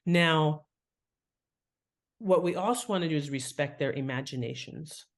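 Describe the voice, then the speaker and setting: A woman talking as an author and interview guest, explaining writing craft in an animated, enthusiastic way. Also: sits low, unhurried.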